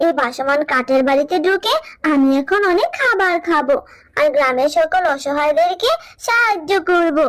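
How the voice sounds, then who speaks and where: Woman playing small village girl, very high-pitched, childlike, sweet, timid, cartoon. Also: animated, normal speed, fairly bright tone. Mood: happy, grateful. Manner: childlike, plaintive. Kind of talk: Bengali children's cartoon dub.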